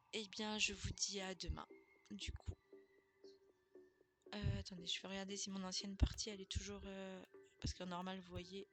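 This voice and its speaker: Chatty woman wrapping up a game stream. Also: medium pitch. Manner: chatty, with many hesitations. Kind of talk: casual gameplay stream chat. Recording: game music playing behind.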